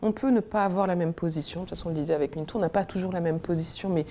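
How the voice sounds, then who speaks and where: Earnest woman with a mellow, lowish voice. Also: fairly even intonation, racing, a little hiss or hum. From Congo. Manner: earnest, thoughtful, searching for words. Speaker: guest, senior civil servant. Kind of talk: studio discussion.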